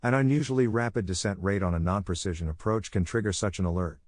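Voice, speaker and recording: A man reading a technical handbook aloud as scripted audiobook narration, in a measured, instructional manner. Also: deep voice, no room sound.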